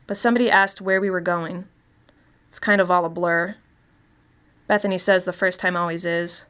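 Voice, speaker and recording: Chatty woman confessing dark deeds, acted out. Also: mid-range voice, clean tone, natural voice. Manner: conversational, confiding storytelling. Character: chatty, darkly gleeful woman confessing to killings. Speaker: actor reading a short story. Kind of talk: dramatic first-person mystery story narration.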